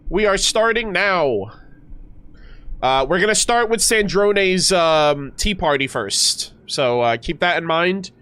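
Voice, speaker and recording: A man's voice: high-pitched, animated, slow, a bit bright, smooth. A man streaming a game, chatting casually with viewers, slightly nasal and stuffed up, with many fillers and restarts.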